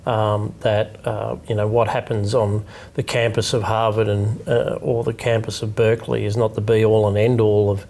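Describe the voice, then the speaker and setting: A man's voice: on the low side, flat delivery, normal speed, creaky, a little mellow. A middle-aged man hosting a studio interview, speaking reflectively with um and uh fillers on a lapel mic. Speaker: think tank executive director, host. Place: studio set with dark curtains.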